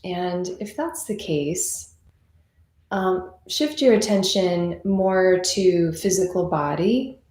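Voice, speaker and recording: A woman leading a guided meditation in an unhurried, conversational and reassuring manner, as a teacher would. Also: fairly low pitch, expressive, darkish tone. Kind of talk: guided meditation, gentle unscripted instruction. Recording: roomy, echoing sound.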